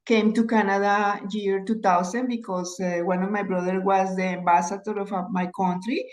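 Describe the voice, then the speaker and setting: A woman talks on a video call. She is hesitant, often repeats words and searches for phrasing. Her voice sits at a middle pitch. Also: steady tone, moderate pace. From Colombia. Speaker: participant, real estate agent. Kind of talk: casual video call discussion.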